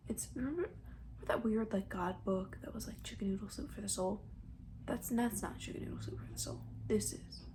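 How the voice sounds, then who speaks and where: Soft, half-whispering woman in casual ASMR. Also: medium pitch, brisk, background noise. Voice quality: soft, breathy, often dropping to a whisper. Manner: gentle, chatty, colloquial, rambling. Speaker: ASMR roleplay performer playing a camp counselor. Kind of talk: soft-spoken ASMR roleplay, casual chat. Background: banjo.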